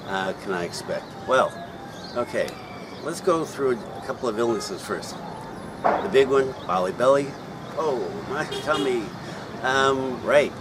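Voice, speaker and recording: Hesitant middle-aged man, loud street noise. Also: medium pitch. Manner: hesitant, many fillers and restarts. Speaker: vlogger. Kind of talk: casual explanatory vlog. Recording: loud outdoor traffic noise.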